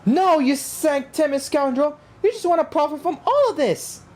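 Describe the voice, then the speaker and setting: A woman voicing an earnest, excitable game companion who argues emphatically on the hero's side. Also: sits high, moderate pace, voice pushed up. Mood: scolding.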